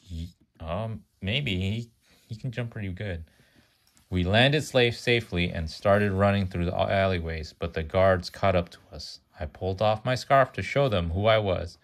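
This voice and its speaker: A man's voice: sits low, moderate pace. A man reading a children's storybook aloud to a child, stumbling over words now and then, correcting himself and adding chatty asides.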